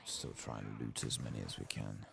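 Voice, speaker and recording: Very deep, creaky man, soft-spoken. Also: fairly even intonation, normal speed. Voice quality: gravelly, husky. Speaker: game streamer. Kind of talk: soft-spoken ASMR gaming commentary, reading quest text aloud.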